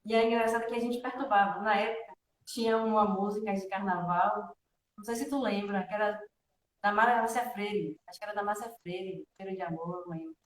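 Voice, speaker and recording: A woman's voice: medium pitch, fairly even intonation, fairly quick. A woman with a northeastern Brazilian accent, a guest telling personal stories casually and conversationally, often checking for agreement. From Salvador, northeastern Brazil. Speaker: interview guest, devotional student. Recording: roomy echo, distant from microphone.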